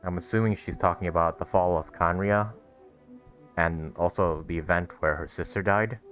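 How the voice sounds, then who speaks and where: A man's voice: low voice, fairly even intonation, normal speed, a bit bright. A man hosting a gaming video, giving casual, playful let's play commentary as he reacts aloud to the game. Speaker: gaming video host, streamer. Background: game music.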